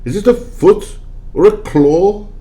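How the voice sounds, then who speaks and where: A man's voice: sits high, takes it slowly, warm tone. A man playing a proud old miser in an amateur audio play, with a formal, pompous and theatrical way of speaking. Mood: uneasy, fearful.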